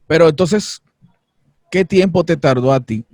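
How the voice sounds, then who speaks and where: A man's voice: on the high side, a little slow, darkish tone, no room sound, studio quality. A man hosting an interview, asking playful, probing questions in a casual conversation. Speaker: interviewer host.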